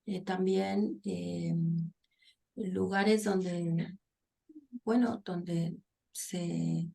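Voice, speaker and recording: Middle-aged woman from Argentina, interview guest, gravelly fry, halting and reflective delivery. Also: fairly low pitch, fairly even intonation, mellow. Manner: thoughtful, pausing with many fillers and restarts. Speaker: guest psychologist and author. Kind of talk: conversational interview.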